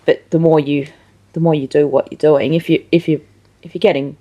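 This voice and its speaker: A woman's voice: low-pitched, fairly even intonation, fairly quick, muffled recording. A woman chats as the host of a casual interview. Her phrasing is tentative and rambling, circling her point as she talks. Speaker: interview show host, musician. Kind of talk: casual chat.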